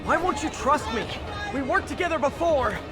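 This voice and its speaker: A man's voice: extremely high pitch, expressive, normal speed, over loud music. An actor playing a caring, wry young male superhero, conversational and earnest, in a video game cutscene. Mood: pleading.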